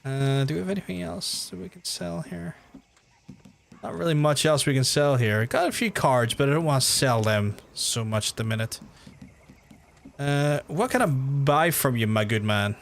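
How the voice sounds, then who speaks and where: A man with a softened Belfast accent, chatting casually and rambling as he streams a game, swearing freely. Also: medium pitch, expressive, normal speed, vocal fry, slight background noise. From Belfast, Northern Ireland. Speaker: live streamer. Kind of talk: casual gameplay commentary and chat with viewers.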